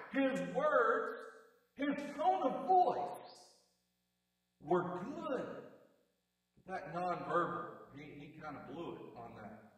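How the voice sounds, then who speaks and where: Married guy preaching, big low voice but pitched up, easy and joking, echoey church hall. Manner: conversational, wry asides to the congregation. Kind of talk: sermon. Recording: echoey hall, distant mic.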